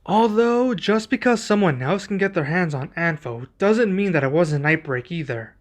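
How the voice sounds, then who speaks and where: A man playing a young king and protective husband in an ASMR roleplay, speaking in a formal, measured, deliberate way. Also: high-pitched, animated. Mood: pensive, measured.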